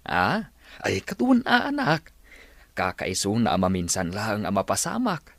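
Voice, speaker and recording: Doting father, low animated voice. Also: unhurried, creaky, no echo, heavily acted. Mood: tender. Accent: Filipino. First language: Ilocano. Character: doting, proud rural father, gentle and humble. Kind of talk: radio drama acting.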